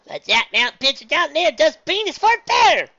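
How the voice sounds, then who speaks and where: A woman voicing a cranky old grandmother pony who protests loudly. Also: sits high, very animated, normal speed, highs cut off, voice pushed up, exaggerated voice. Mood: protesting.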